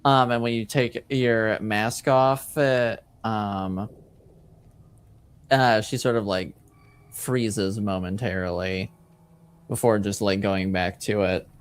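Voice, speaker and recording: A man with a smooth, clear voice runs a tabletop game as game master. He describes scenes slowly and casually, feeling his way haltingly from phrase to phrase. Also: fairly low pitch, fairly even intonation. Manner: hesitant, halting, pieces descriptions together.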